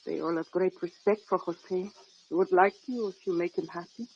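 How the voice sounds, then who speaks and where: Woman acting gentle young woman, earnest, persuasive, formal phrasing, vintage radio drama, dull lo-fi sound. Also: on the low side, brisk, a little hiss or hum, natural voice. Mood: persuading.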